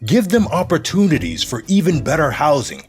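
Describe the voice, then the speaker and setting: An actor playing a slick, scheming, domineering city mayor in an audio drama, with a politician's smooth, bossy manner. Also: male voice, a little high, wildly swooping pitch, moderate pace, faint music behind, full character voice. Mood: persuasive, smooth. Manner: smooth politician's charm turning to bossy irritation.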